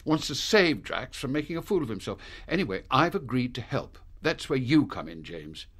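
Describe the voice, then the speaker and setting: Clipped, animated British spy chief. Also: male voice, medium pitch, normal speed, breathy edge. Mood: confiding. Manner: clipped, commanding. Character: authoritative, gruff male British spy chief. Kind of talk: radio drama acting.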